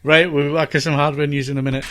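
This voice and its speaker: A man's voice: a little high, little pitch movement, rapid-fire, over music. A man hosting a podcast, casually introducing and linking segments. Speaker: podcast host and presenter.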